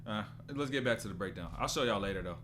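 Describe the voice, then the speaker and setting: Low-voiced young man streaming chat. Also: lively intonation, fast talker, background noise. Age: 20s. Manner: loose and rambling, breaks off to read chat. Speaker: basketball breakdown streamer. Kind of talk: casual live-stream commentary.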